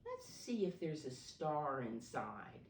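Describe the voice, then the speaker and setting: A woman reading a picture book aloud to small children, pausing to ask simple questions and explain. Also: sits low, huge pitch swings. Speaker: preschool storybook reader, teacher figure. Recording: roomy echo, distant mic.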